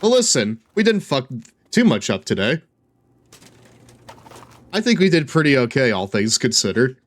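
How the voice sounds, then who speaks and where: Casual male game streamer, medium pitch. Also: sing-song, normal speed. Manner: occasional stammer. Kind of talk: casual live gameplay commentary.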